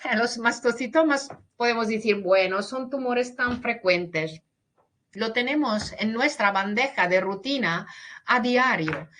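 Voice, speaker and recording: Slow, bright-voiced woman lecturing. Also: fairly high pitch, slight background noise. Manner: hesitant, restarts words, mixes in English and Portuguese. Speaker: veterinary pathologist lecturer. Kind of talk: webinar lecture. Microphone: video call.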